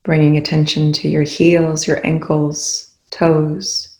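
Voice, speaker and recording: A meditation guide with a noticeably creaky voice and a fairly even, low-key pitch. The delivery is soothing and unhurried, with long pauses between short instructions. The room adds a clear echo around the words. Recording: echoey room.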